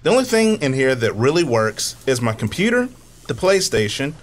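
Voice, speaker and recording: Nerdy super genius inventor, male actor for comedy radio drama: casual confidence, matter-of-fact, animated intonation. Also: medium pitch, moderate pace, close to an everyday voice. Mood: proud explaining.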